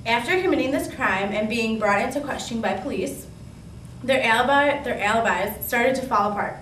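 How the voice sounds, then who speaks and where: An earnest female 1920s reporter, played by a woman, with a fairly high voice. She reads her scripted report plainly. The recording is echoey and a bit noisy, with the mic at a distance. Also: moderate pace, a bit bright, natural voice. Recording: echoey room, distant mic, background noise.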